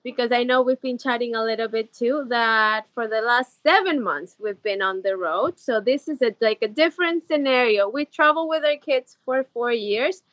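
A woman's voice: on the high side, moderate pace, hardly any pauses, fairly bright tone, dry recording. A woman from Ecuador in her thirties, a podcast guest chatting eagerly with lots of "like" fillers. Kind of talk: casual interview chat.

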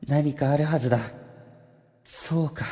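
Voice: medium pitch, fairly even intonation, measured pace, mellow, lightly acted. An actor playing a proud, loyal young boy sorcerer in a period audio drama, cornered and muttering defiantly. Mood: thinking hard. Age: child figure.

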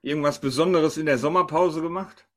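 A male podcast host with a slightly high voice. He talks casually and chattily, steering the banter and teasing his co-hosts as he goes. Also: fairly quick.

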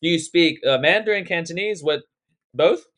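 A man's voice: a little high, normal speed, fairly bright tone. A young man hosting a casual podcast interview, laid-back and slangy, rambling loosely as he asks questions. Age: young adult. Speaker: podcast host.

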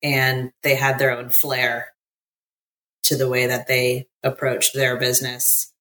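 A woman's voice: very deep voice, little pitch movement, takes it slowly, pushed and bright, poor audio. An American woman from the United States talking as an interview guest in a chatty way, with many fillers and easy laughs. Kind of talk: casual interview conversation.

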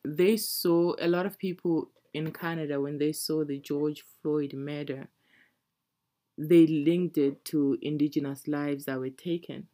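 A woman from Zimbabwe in a casual conversation, speaking in a reflective, measured way. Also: low voice, fairly even intonation, warm tone.

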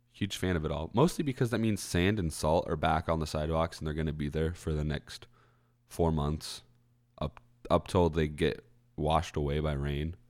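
Young man, very low voice, stuffed-up nose, rambling college radio host, clears his throat. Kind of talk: casual solo podcast chat. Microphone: studio microphone.

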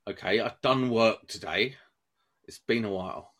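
A man's voice: lowish voice, moderate pace, a bit bright. A man hosting a casual group podcast, teasing his co-hosts and laughing often. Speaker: podcast host.

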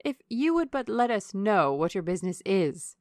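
A woman's voice: medium pitch, sing-song, moderate pace, darkish tone, no echo, studio quality, close to an everyday voice. A woman voicing a nosy, jolly older matron in a storybook reading, prying and exclaiming with swooping emphasis. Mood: insistent.

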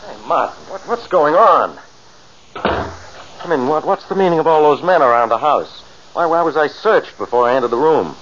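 An actor playing a calm, fatalistic doctor friend in 1940s radio drama, earnest and soothing as he reasons. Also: fairly high pitch, moderate pace, natural voice. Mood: puzzled. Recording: muffled, noisy old radio recording.

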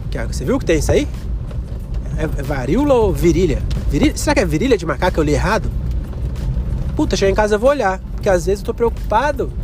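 A young man hosting a solo podcast, rambling and joking with crude slang, coughing from a cold. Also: high-pitched. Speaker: podcast host. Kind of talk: solo comedic podcast monologue.

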